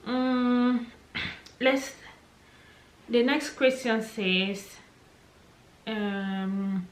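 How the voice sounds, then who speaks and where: A woman's voice: fairly high pitch, flat delivery, extremely slow. A young woman, chatting casually and encouragingly as she answers viewer questions, thinking aloud as she goes. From Cameroon, English-speaking region. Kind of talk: casual Q&A chat. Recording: echoey room with faint noise.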